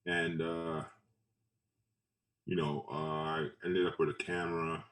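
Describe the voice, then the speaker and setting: A man from Trinidad and Tobago talks casually as an interviewed photographer, in a halting, laid-back way that repeats phrases. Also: extremely low pitch, little pitch movement, a bit bright. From Trinidad and Tobago, later Brooklyn. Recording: roomy echo, distant mic.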